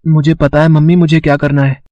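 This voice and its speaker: A man's voice: fairly high pitch, level tone, brisk, mellow, no echo, highs cut off, natural voice. A man voicing a well-off schoolboy in a cartoon moral story. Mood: remorseful. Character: spoiled rich schoolboy turned remorseful.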